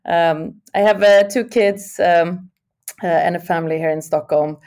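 A woman's voice: fairly low pitch, fairly even intonation, a little slow, darkish tone. A middle-aged woman from Sweden, a podcast guest talking thoughtfully with many fillers and restarts. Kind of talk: podcast interview.

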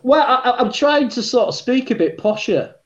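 A man's voice: extremely high pitch, lively intonation, normal speed. A man with a Yorkshire accent, chatting as a podcast guest, rambling with lots of fillers and the odd swear word. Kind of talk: casual chat. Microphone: earbuds. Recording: echoey room, dull lo-fi earbud mic.

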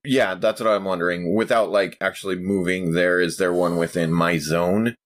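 A man playing a tabletop role-playing game, asking careful questions and pausing as he thinks through his move. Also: deep voice, steady tone. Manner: hesitant, methodical questioning. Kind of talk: casual tabletop game play.

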